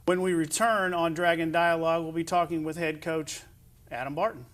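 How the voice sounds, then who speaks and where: Male sports interview host, somewhat high-pitched, conversational delivery. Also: fairly even intonation, moderate pace, fairly bright tone.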